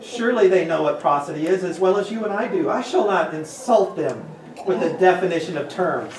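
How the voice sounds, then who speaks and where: A man's voice: sits high, animated, brisk. A man performing a pompous, grandiose orator in a readers' theater piece. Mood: lofty. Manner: grandiose, declamatory. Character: pompous, theatrical male orator scorning his interrupters. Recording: distant, echoey, noisy room recording.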